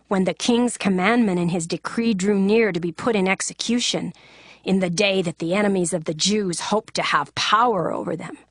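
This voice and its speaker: A woman reading scripture as a scripted narrator, telling the story in a solemn, measured manner. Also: medium pitch.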